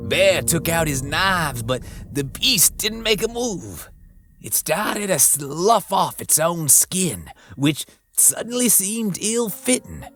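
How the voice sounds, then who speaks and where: A man narrating a horror western story in the first person, with folksy, rough frontier grammar, over background music. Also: fairly high pitch, very animated, takes it slowly, fairly bright tone.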